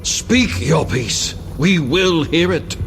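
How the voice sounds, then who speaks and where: An actor playing an urgent, commanding ship's captain who gives halting orders in an audio drama. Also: male voice, fairly high pitch, lively intonation, a bit bright, slight background noise, exaggerated voice. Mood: stern.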